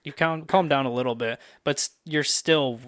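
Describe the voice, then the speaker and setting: A man with a clean, smooth, mid-pitched voice chats as a podcast co-host. The sound is dry, and he banters casually, swearing as he goes. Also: normal speed, fairly bright tone, dry recording. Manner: bantering, profane. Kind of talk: casual chat.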